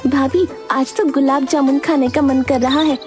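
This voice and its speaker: Woman as very high-pitched, excitable young sister-in-law. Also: normal speed, over music, natural voice. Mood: eager.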